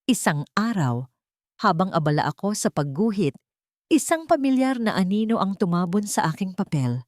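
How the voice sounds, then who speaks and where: A woman with a Filipino accent narrating a dramatic first-person story in measured, solemn phrases. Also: medium pitch, sing-song, darkish tone, dry recording, studio quality. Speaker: audiobook narrator.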